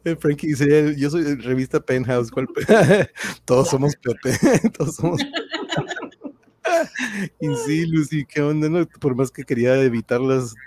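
A man's voice: a little high, a little slow, a little mellow. A man with a Mexican accent hosting a casual livestream chat in a jovial, often chuckling way. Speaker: livestream host.

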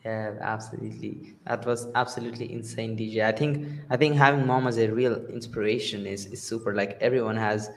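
A man's voice: lowish voice, steady tone, brisk, creaky, few pauses. A man hosting an interview podcast, enthusiastically affirming his guest before moving on to the next question. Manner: enthusiastic, repeats affirmations, says absolutely often. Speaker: podcast host.

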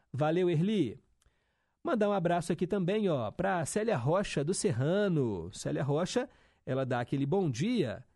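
A man's voice: on the high side, mellow, no room sound, studio quality. A young male radio host, chatting casually and reading listener messages with upbeat teasing asides.

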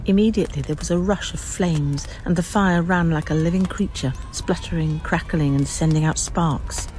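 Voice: mid-range voice, moderate pace, a little mellow, a little hiss or hum. A narrator telling a radio drama in scripted, dramatic storytelling style.

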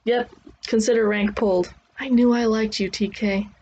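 A woman's voice: a little high, fairly even intonation, moderate pace, a little mellow, pitched above the performer's own voice, lightly acted. Female reader as older male mentor officer, audiobook dialogue, sly, blunt, coarse teasing, dull recording with noise.

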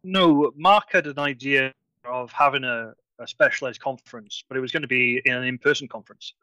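A man's voice: mid-range voice, brisk, bright, forceful tone, no echo, low-quality recording. A man from Wales, chatting enthusiastically as a guest on a video call and tripping over his words now and then. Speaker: conference organiser guest. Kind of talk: casual video-call chat.

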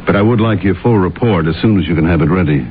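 An actor playing a courteous, businesslike police detective in an old-time radio drama, with formal, measured questioning. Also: male voice, low voice, loud music behind the voice, natural voice. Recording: tinny, noisy old radio recording.

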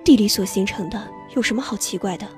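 A woman's voice: mid-range voice, fast talker, lightly acted. A woman acting a cold, curt young female assassin in a radio drama, speaking in terse, clipped lines. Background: music score underneath.

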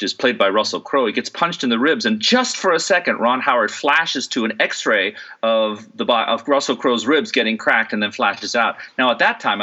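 A man's voice: on the low side, sharp tone, muffled recording. A middle-aged man who works as a voice and stage actor, talking through an interview in a thoughtful way with many fillers and restarts. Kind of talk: relaxed interview conversation.

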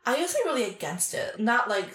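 A woman's voice: on the high side, very animated, fast talker, a bit bright. A woman as an interview guest, chatting candidly and frankly, hesitating often and leaning on fillers. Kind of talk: candid casual chat. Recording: roomy echo.

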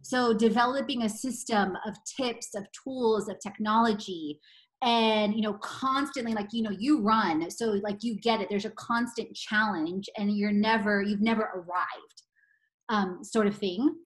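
A woman's voice: medium pitch, steady tone, a little slow. A woman in her forties from Poland, a podcast guest talking enthusiastically and laughing often as she chats. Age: 40s. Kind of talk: casual interview chat.

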